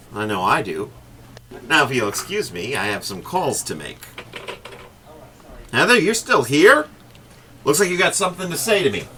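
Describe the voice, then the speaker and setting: A man's voice: fairly high pitch, bright, forceful tone, a little hiss or hum, full character voice. A man playing a sarcastic, curt and cocky news station boss in a radio drama. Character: brash, dismissive male news station boss.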